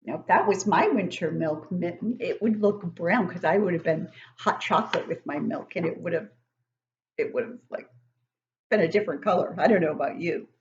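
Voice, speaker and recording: A woman's voice: lowish voice, moderate pace, dull audio. A middle-aged woman hosting an interactive picture-book read-aloud for young children, encouraging them with questions and exclamations. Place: living room at home, indoors. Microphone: webcam at desk distance.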